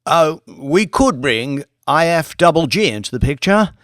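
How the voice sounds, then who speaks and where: A man's voice: a little high, expressive, a little slow, fairly bright tone, no room sound, clean studio sound, voice pushed up. A man doing a hesitant junior banker. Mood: eager.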